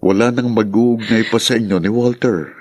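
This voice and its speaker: A man acts a considerate young friend in a radio serial. His voice sits on the low side. He speaks gently and carefully, offering advice. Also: steady tone, moderate pace, natural voice. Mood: sympathetic, tentative. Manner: gentle, tentative. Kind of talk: Tagalog radio drama acting.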